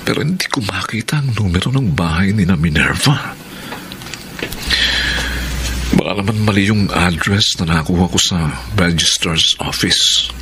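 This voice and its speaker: A man's voice: low-pitched, animated, moderate pace, background noise. A man with a Filipino accent acting a polite, smitten young suitor in a radio drama. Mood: puzzled searching.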